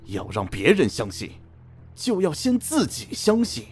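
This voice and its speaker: A man's voice: fairly high pitch, huge pitch swings, a little slow, darkish tone, soft background music. A man playing a cold, menacing general of ancient China in a costume radio drama, commanding and taunting. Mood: taunting, bitter.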